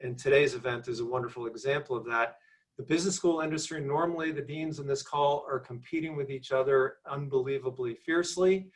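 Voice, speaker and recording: A man's voice: medium pitch, a bit bright. A man, a business school dean, speaking earnestly and with emphasis on a video-call panel. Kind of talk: panel discussion.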